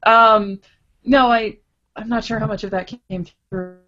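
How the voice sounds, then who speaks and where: A woman's voice: on the high side, steady tone, measured pace. A woman guesting on a casual podcast over an online call with dull, lo-fi audio, talking hesitantly. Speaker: podcast guest, comics artist.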